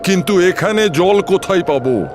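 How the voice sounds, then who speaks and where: High-pitched man playing a worried village chief. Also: expressive, normal speed, background noise. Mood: worried, urgent. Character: worried, apologetic male village chief, a father. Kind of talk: cartoon voice acting.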